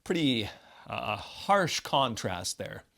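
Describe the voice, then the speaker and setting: A man's voice: mid-range voice, big pitch swings, slow, gravelly, a bit bright. A man giving casual commentary on a video game as a co-commentator.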